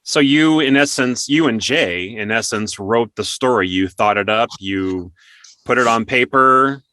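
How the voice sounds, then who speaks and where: A man with a bright, forceful voice presents an interview show. He is courteous and upbeat with an announcer's delivery, and the sound is dry with very little room in it. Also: mid-range voice, a little slow, no echo. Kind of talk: scripted show intro and interview.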